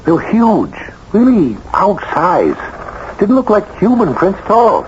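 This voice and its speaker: An actor playing a brisk, matter-of-fact American sheriff's deputy in a radio mystery, plain-spoken and emphatic. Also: male voice, high voice, huge pitch swings, full character voice. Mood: intrigued, matter-of-fact. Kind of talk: radio mystery drama acting. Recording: dull, noisy old radio broadcast.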